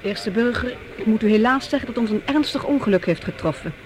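A woman's voice: fairly high pitch, expressive, brisk, lightly acted. Earnest, careful officer, played by a woman. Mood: grave, deferential. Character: earnest, tense doctor posing as a Martian officer. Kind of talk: science fiction radio drama acting.

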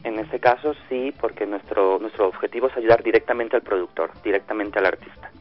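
A man's voice: lowish voice, a little fast. A man from Ecuador being interviewed over the phone, answering with many hesitations and restarts but with real enthusiasm for his hometown. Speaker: festival organizer guest. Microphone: phone line. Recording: dull lo-fi phone line.

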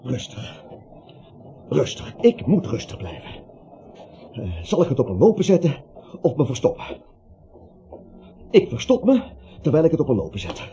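A man's voice: medium pitch, wildly swooping pitch, normal speed, pauses often, dark tone. An actor playing a nervous, bewildered little man in a radio play, dithering, stammering and repeating himself. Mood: nervous, flustered. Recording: muffled old radio recording, faint hiss.

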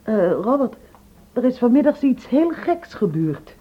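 An actress playing an earnest, polite sister in a radio play. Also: female voice, a little high, sing-song, unhurried, slightly rough, heavily acted. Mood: uneasy, confiding. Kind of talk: Dutch radio drama acting. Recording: muffled old radio play recording.

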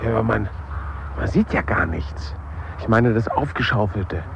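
Gravelly, low-voiced sociable man. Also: moderate pace, noisy background. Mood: mildly impatient. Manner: questioning, conversational. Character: inquisitive, sociable male funeral mourner. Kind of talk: naturalistic radio play dialogue. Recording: muffled 1970s radio, bells behind.

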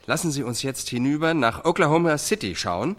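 A man's voice: fairly high pitch, animated, a little fast, a bit bright. Formal TV reporter, male. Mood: formal, solemn. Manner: formal, announcer-like. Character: American TV reporter presenting a live execution broadcast. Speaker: TV news reporter. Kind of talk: acted live TV news report in a radio drama.

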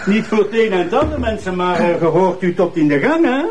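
An actor playing a domineering, impatient public prosecutor with a Flemish accent and a husky, pointed way of talking, in a television drama. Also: male voice, high-pitched, big pitch swings, brisk, a little mellow, a little hiss or hum. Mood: teasing. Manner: pointed, demanding. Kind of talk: naturalistic television drama dialogue.

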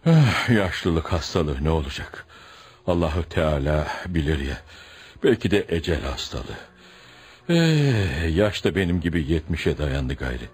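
An actor playing a kindly, pious village father near seventy, unhurried and fatherly, in a Turkish radio play. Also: a bass voice, very animated, darkish tone, slightly rough, heavily acted. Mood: concerned. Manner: unhurried, fatherly, sighing interjections. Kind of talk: Turkish radio theatre acting.

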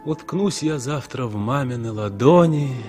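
An actor playing a lonely, disillusioned traveller in a 1960s radio drama, speaking in measured, declamatory phrases. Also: male voice, mid-range voice, animated, lightly acted. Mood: weary, resigned. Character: weary, disillusioned male traveller, brooding and lonely. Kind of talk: 1960s radio drama acting, verse-like interior monologue. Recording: 1960s radio play recording with music. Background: music.

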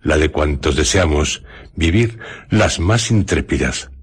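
A man narrating a radio mystery programme in a solemn, theatrical, suspenseful manner with dramatic pauses. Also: rumbling low, expressive, husky. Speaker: radio show host and narrator. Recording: music behind the voice. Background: background music.

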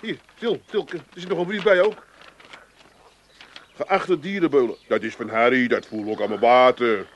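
A man's voice: medium pitch, moderate pace, natural voice. Man playing a harried Amsterdam fellow, noisy backdrop. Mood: exasperated. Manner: fussy, repeats words. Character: harried, practical Amsterdam man running a fishing outing. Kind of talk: 1980s comedy radio play acting.

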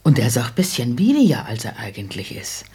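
A homely old woman in a literary radio drama. Her voice is extremely husky and breathy. She sounds simple, plainspoken and hesitant, questioning things in a wondering way. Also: very deep voice, huge pitch swings, quick pace, darkish tone, exaggerated voice. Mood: doubtful, curious. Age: older. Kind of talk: German literary radio play acting.

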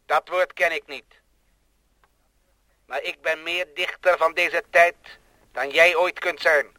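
An actor playing a cold, boastful thinking machine that scorns humans, in solemn declamatory radio play style. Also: male voice, fairly high pitch, steady tone, slow, pushed and bright, no room sound, poor audio. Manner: solemn, pompous, rhetorical.

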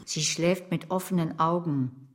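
A woman's voice: lowish voice, fairly even intonation, a little slow, lightly acted. An actress playing a curt, wary woman in a crime radio play, speaking in a terse, matter-of-fact way.

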